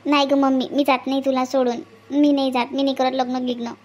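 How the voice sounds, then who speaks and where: A woman's voice: high-pitched, little pitch movement, fairly quick. A woman voicing a pampered, clingy young daughter in a cartoon family skit, speaking in a wheedling, childlike manner. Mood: protesting, clingy.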